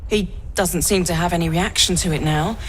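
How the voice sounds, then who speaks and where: Low-voiced actress as a subdued healer. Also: female voice, a little fast, slight background noise, natural voice. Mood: concerned. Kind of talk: fantasy game dialogue.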